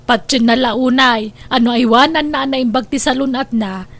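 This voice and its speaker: A woman acts out an old wife in an Ilocano comedy drama, forever fretting and complaining about her husband. Her voice is pitched high, with an Ilocano Filipino accent. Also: moderate pace, a bit bright. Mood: grumbling.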